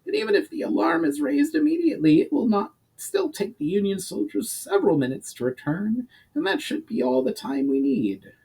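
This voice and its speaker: A man's voice: very high voice, big pitch swings, brisk, gravelly, hardly any pauses, darkish tone, breathy edge, voice pushed up, heavily acted, poor audio. A man voicing a shrewd, scheming goblin strategist with an eager, calculating manner and formal diction, in a storybook reading.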